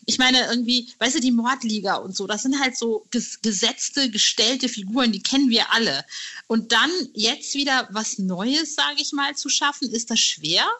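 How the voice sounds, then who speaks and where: A woman's voice: a little high, normal speed, pushed and bright, dull audio. A woman hosting a podcast, talking casually as an interviewer and presenter. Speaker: podcast host.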